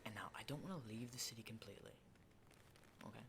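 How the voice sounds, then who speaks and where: Over clearly audible noise, a jittery, hardened male survivor of a zombie apocalypse played by a man speaks in a breathy, slightly low whisper. He is halting and insistent, repeating himself like someone out of practice with people, in an ASMR roleplay style. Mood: wary, explaining. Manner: halting, repetitive, insistent, often checking with "okay?". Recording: clearly noisy close whisper recording.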